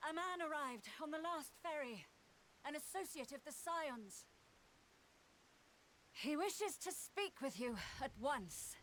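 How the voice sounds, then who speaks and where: A woman's voice: high voice, animated, slow, long pauses, fairly bright tone, slight background noise, full character voice, poor audio. A woman playing a polite, dutiful messenger in a video game cutscene, speaking formally and courteously. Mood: apologetic, businesslike.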